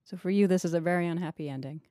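A woman's voice: fairly low pitch, racing, dark tone, dry recording, studio quality. A woman hosting a literary podcast, presenting and asking about a short story. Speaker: podcast host, fiction editor.

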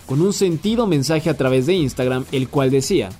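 A man hosting a sports news podcast, reading the day's football stories in a brisk, scripted way. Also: fairly high pitch, faint music behind. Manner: brisk, even headline delivery.

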